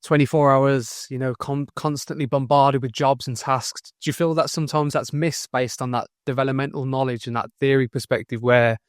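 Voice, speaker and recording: A man's voice: medium pitch, dry recording. A male podcast host with an ordinary mid-range voice asks long, winding questions. The recording is dry and close, with no room sound. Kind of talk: interview questions.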